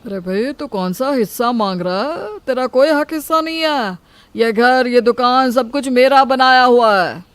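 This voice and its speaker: A woman's voice: a little high, unhurried, smooth, no room sound, natural voice. A woman voicing a boastful, dismissive elder brother in a family story.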